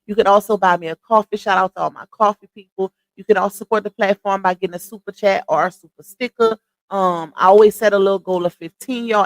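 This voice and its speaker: A woman hosting a casual livestream, chatting with viewers, greeting them by name and calling them y'all, her voice starting to go hoarse. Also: medium pitch, no echo. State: voice going hoarse. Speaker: livestream host.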